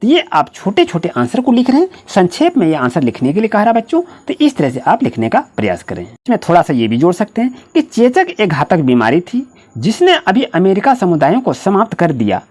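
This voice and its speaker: A woman's voice: mid-range voice, huge pitch swings, brisk, darkish tone. A woman teaching school students, dictating answers step by step in a directive, repetitive way. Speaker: online school history teacher.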